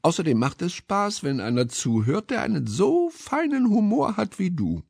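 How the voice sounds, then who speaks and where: A man's voice: sits high, huge pitch swings, moderate pace, gravelly, a little mellow, husky, no room sound, voice pushed up, heavily acted. A man plays a chatty storybook mouse, proud of its tales. The voice sits raised and lilts widely, with a dry, close sound. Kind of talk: children's storybook reading.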